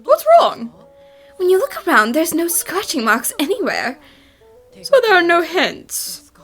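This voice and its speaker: Woman playing a high-pitched, perky young girl detective. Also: very animated, a little slow, soft background music, exaggerated voice. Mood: inquisitive. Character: eager, food-loving young female detective. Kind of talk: fan dub game voice acting.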